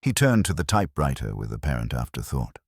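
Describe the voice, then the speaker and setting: A man with a very deep voice narrates a mystery in formal, wry prose. His pitch moves freely within that low register, and the sound is dry, with little room in it. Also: sing-song, quick pace, creaky, husky, no room sound. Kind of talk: scripted audiobook-style mystery narration.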